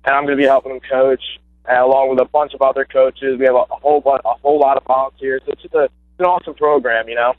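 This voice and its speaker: A man's voice: mid-range voice, fairly even intonation, quick pace, dry recording. A young man calling in as a guest, talking eagerly with lots of fillers and restarts. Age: young adult. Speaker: guest, former high school basketball player. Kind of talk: casual phone interview. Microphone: phone call. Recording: tinny phone line.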